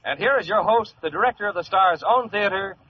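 A man announcing and reading a sponsor's pitch in the style of 1940s radio advertising. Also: brisk. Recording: muffled old radio, faint hiss.

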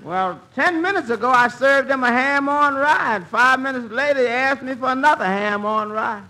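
An actor playing a naive, earnest male waiter in 1940s radio comedy, delivering lines deadpan. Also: extremely high pitch, normal speed. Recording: very noisy vintage radio recording.

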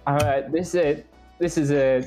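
A man with a fairly high voice talks casually and haltingly. Music plays behind his hesitant chat. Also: steady tone, dark tone.

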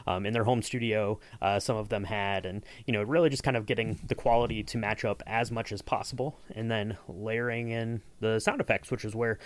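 A man's voice: lowish voice, fairly even intonation, fairly quick, few pauses. A man hosting a casual behind-the-scenes podcast, talking in a loose, hesitant, rambling way. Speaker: podcast host.

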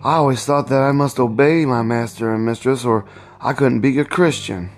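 A man reading a storybook, voicing a gentle, anxious young wife with a pleading, mournful manner. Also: medium pitch, moderate pace, faint music behind, lightly acted. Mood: mournful, meek. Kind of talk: storybook read-aloud.

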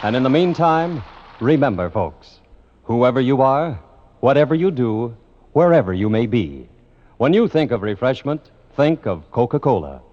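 A man announcing a 1950s radio show, reading the sponsor's message with smooth, upbeat sales delivery. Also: medium pitch, sing-song, normal speed, darkish tone. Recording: dull old radio transcription with music.

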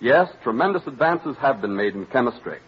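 An American man reading formal, scripted copy as a 1930s radio announcer, in measured oratorical phrases. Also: mid-range voice, expressive, breathy edge. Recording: muffled vintage 1930s radio broadcast.

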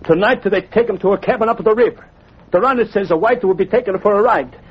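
A man's voice: high-pitched, a little fast, slightly rough. An actor playing an anxious, pleading Italian immigrant father in a radio drama, speaking accented broken English and referring to himself in the third person. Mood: confiding, grave. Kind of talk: 1940s radio acting. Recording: dull, noisy old radio recording.

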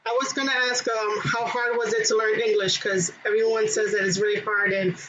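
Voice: medium pitch, flat delivery, brisk, a bit bright, a little hiss or hum. Casual podcast host, slightly muffled. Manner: casual, agreeable, filler-heavy questions. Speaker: interview host.

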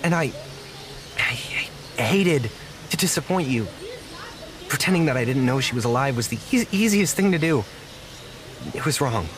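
An actor playing a naive, apologetic young zookeeper in an audio drama, speaking earnestly with halting stammers. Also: male voice, mid-range voice, animated, crowd noise, close to an everyday voice. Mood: pleading, sorry. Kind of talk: naturalistic audio drama acting.

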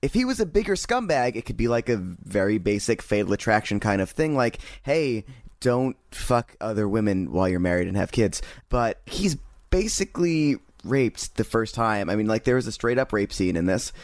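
Lower-voiced man ranting about a movie. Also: sing-song, moderate pace, dry recording, studio quality. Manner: opinionated, rants with sarcastic jabs. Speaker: podcast co-host. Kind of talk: casual movie review chat.

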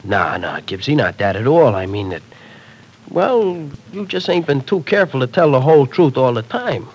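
A man's voice: medium pitch, sing-song, moderate pace, darkish tone, slightly rough. A slangy streetwise tough guy. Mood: wheedling. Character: tough streetwise young man, slangy and pushy. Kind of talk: 1940s radio acting.

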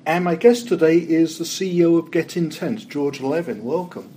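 A man's voice: fairly high pitch, moderate pace, dark tone. Male podcast host, friendly, brief prompts, faint hiss behind. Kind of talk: podcast interview.

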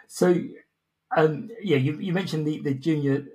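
Casual male podcast host, slightly high voice. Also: slow, warm tone, low-quality recording. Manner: jokey, self-deprecating, chuckling. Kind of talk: casual interview chat.

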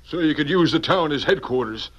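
An actor playing a compromised, cornered Western sheriff in an old radio drama, American, earnest and protesting in manner. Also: male voice, a little high, lively intonation, a little fast, a bit bright. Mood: rueful, bitter. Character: troubled, compromised Western town sheriff, indignant but cornered. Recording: dull vintage radio recording.

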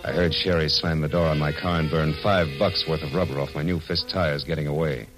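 An American actor playing a tough, sardonic private detective in an old radio noir drama, with curt, slangy delivery. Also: male voice, very deep voice, fairly even intonation, a little fast, music in the background. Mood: wry narration. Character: hard-boiled, bullying male private detective, sardonic and tough. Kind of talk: 1940s-1950s radio noir acting and first-person narration.

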